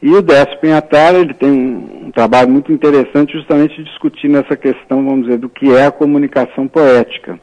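A man's voice: medium pitch, steady tone, fast, few pauses, a little mellow. Hesitant male writer on dull radio. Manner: thoughtful, hesitant, many fillers. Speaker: writer, interview guest. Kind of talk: radio interview.

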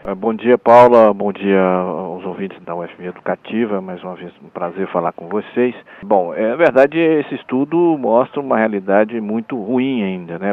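Guy talking on a tinny phone line, lowish voice, keeps restarting and going "né?". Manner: hesitant, frequent restarts and tag questions. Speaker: newsletter editor, radio guest. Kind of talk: radio interview answers.

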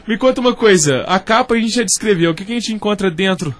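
High-voiced man hosting radio. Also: fast. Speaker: radio show host. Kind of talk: lively radio interview chat.

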